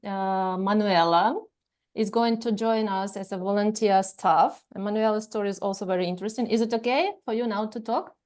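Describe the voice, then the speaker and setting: A woman hosting an online orientation over a video call, talking casually with many pauses and fillers. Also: mid-range voice, fairly even intonation, a little mellow.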